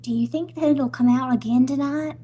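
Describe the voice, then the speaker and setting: A woman's voice: high voice, fairly even intonation, moderate pace, dark tone, dull audio, a little hiss or hum, close to an everyday voice. A woman playing a nervous, easily spooked young wife in an old-time radio comedy, anxious and wryly pleading. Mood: uneasy, pleading.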